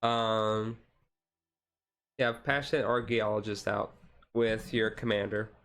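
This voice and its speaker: A man talks casually to a livestream in a fairly flat, even tone. He rambles in a laid-back way, dropping informal, jokey slang as he goes. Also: lowish voice, takes it slowly, smooth.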